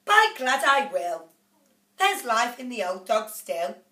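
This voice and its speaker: A woman voicing a bossy middle-aged mother who scolds in loud outbursts. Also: a little high, slow pace. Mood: shrill. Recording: echoey room, off-mic.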